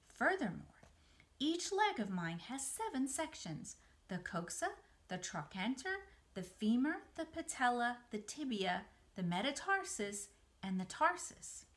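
A woman's voice: a little high, sing-song, unhurried, fairly bright tone, pitched above the performer's own voice. A woman voicing a clever, matter-of-fact female spider who teaches kindly and precisely in a children's storybook. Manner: precise, instructive.

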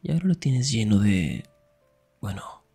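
A young man performing an intimate ASMR roleplay as a teasing, affectionate best friend, murmuring in a breathy, husky whisper. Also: lowish voice, sing-song, unhurried, dark tone, soft background music.

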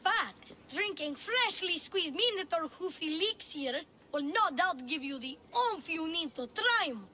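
A woman's voice: extremely high pitch, animated, a little slow, sharp tone, full character voice. A hustling boy tour guide. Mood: salesy. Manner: salesy, confident patter. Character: fast-talking young boy huckster tour guide. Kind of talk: video game voice acting. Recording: game music underneath.

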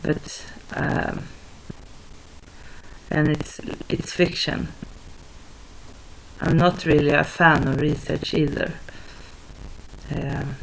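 Young woman vlogging from her living room; slow, halting, monotone, with a gravelly fry. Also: sits low, slight background noise, poor audio. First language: Swedish. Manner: hesitant, halting, many fillers and restarts. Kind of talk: casual talk to camera. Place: small living room at home, indoors. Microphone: webcam at desk distance.

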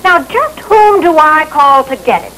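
An American actress playing a fussy, sharp-tongued housewife customer, haughty and scolding in an old training film. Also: female voice, sits high, big pitch swings, unhurried, full character voice. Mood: haughty, firm. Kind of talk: 1960s training film acting. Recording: noisy old film soundtrack.

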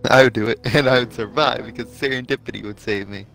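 A man talks in casual gaming chat with friends at a medium pitch. He is a streamer, and video game music runs behind his voice. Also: fairly even intonation, quick pace, vocal fry, a bit bright.

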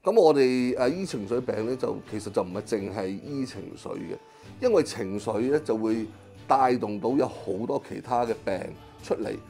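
A middle-aged man with a Hong Kong Cantonese accent, a Chinese medicine practitioner explaining his work in an interview, pausing often with drawn-out fillers. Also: mid-range voice, lively intonation, dark tone, soft background music. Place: small clinic consulting room.

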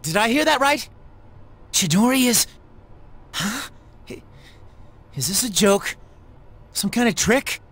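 A man voicing a slangy, easygoing but emotional teenage high school boy in a video game. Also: animated, slow pace, pauses often, a bit bright, full character voice. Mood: shocked. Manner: casual, slangy. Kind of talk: scripted game voice acting.

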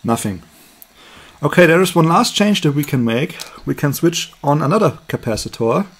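Husky, higher-pitched man explaining. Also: lively intonation, normal speed. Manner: explanatory, step by step. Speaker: hobby electronics presenter. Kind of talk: hands-on demonstration commentary, unscripted. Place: indoors at a table.

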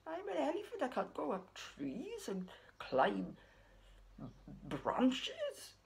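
A woman's voice: sits high, huge pitch swings, takes it slowly, vocal fry, slight background noise, pitched above the performer's own voice, heavily acted. A woman voicing a big, friendly, matter-of-fact elephant in a puppet story, firm and instructive.

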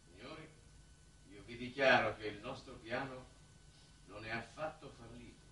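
An actor playing a calculating chief diplomat, formal, courteous and assured, in 1960s Italian TV drama style. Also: male voice, mid-range voice, normal speed, pushed and bright, lightly acted. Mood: cool, assured. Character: suave, calculating male diplomat leading a mission. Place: television studio set. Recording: distant, noisy old television soundtrack.

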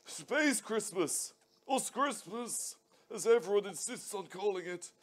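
A man's voice: animated, unhurried. A man voicing a jolly, pompous old Father Christmas in a live radio play reading. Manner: booming theatrical delivery with ho ho ho. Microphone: headset.

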